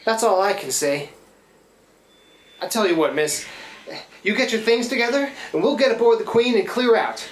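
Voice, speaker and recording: A man acting in a live radio play as a rough-spoken, easygoing Canadian river boat pilot with folksy, rambling, ungrammatical speech. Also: sits high, expressive, normal speed, pauses often. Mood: concerned, urging. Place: live stage performance in a room. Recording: roomy live stage recording, faint noise.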